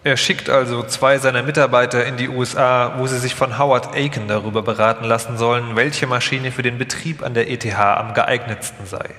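A man narrates a scripted documentary live in a bright, forceful voice of medium pitch. A long hall reverb follows his words. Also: steady tone, fairly quick, hardly any pauses.

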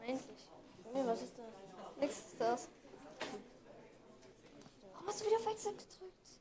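A young woman with a high voice, taking part in a project presentation. She is recorded live, with clear crowd noise around her. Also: very animated, normal speed.

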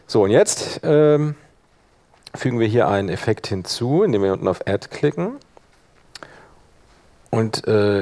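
A man presenting a hands-on software workshop live, informally thinking aloud as he demonstrates each step. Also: mid-range voice, vocal fry.

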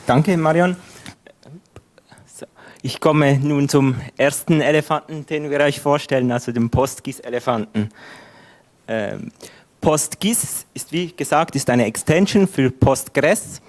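Male GIS consultant presenter; slightly high voice, slow measured explaining. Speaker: GIS consultant, conference speaker. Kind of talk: technical conference talk.

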